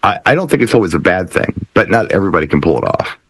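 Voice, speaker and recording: A middle-aged man talking casually as a DJ panelist, with measured phrasing and deliberate pauses. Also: low voice, very animated, fairly bright tone, breathy edge, no room sound. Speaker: DJ and talk show panelist. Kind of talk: casual chat.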